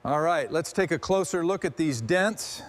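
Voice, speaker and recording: A man with a moderately high voice comments on his restoration work step by step. He speaks in short, muttered remarks, as a mechanic talking through each task. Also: lively intonation, normal speed. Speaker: motorcycle restorer narrating the work.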